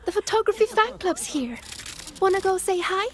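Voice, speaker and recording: A woman voicing a bright, excitable, playful young female academy student in a video game. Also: very high-pitched, normal speed. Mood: cheerful. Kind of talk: English video game voice acting.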